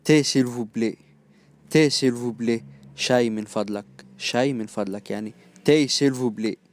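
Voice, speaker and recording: A man with an Egyptian accent teaching phrases, repeating each one slowly and then glossing it in quick, casual asides. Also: medium pitch, no echo. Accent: Egyptian Arabic. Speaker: language teacher. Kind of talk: phrase-by-phrase language lesson.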